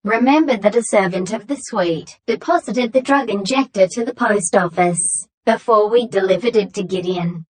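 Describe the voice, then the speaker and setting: A woman playing a stern young female courier in a story, speaking in formal, matter-of-fact, measured statements. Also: mid-range voice, natural voice. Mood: grave. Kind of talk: scripted story dialogue.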